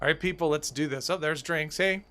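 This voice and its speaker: A man streaming a game live, chatting in a rambling, self-deprecating way with lots of fillers, his voice a little hoarse. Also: fairly high pitch, fairly quick, pushed and bright. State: slightly hoarse. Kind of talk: casual live-stream chat while gaming.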